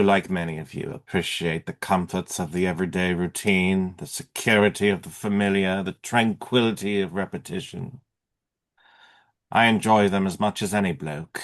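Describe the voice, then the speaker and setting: A man performing a theatrical monologue as an eloquent, defiant masked vigilante with measured, formal oratory. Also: low voice. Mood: courteous, composed.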